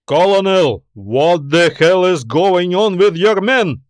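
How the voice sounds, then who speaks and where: A man's voice: sits high, lively intonation, slow pace, dry recording, voice pushed up. A man performs a space-fleet admiral for an audiobook, in a dry, close recording. He is confident and practical, speaking with command and a businesslike edge. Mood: angry, commanding. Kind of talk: audiobook character dialogue.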